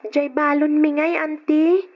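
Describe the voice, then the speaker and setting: A woman's voice: high voice, flat delivery, unhurried. A woman with an Ilocano accent playing a dutiful, put-upon schoolgirl and elder sister, meek and polite, in a radio drama. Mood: timid pleading. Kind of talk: Ilocano old-time radio drama acting. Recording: dull lo-fi old radio drama recording.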